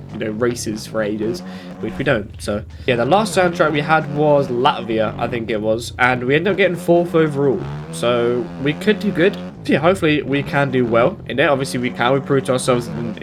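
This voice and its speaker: A British man with a blocked nose from hay fever, casually rambling through gameplay commentary with lots of fillers and self-corrections. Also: medium pitch. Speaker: gaming YouTuber. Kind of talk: casual commentary while playing. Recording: game engine noise behind. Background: motorbike game engine sounds.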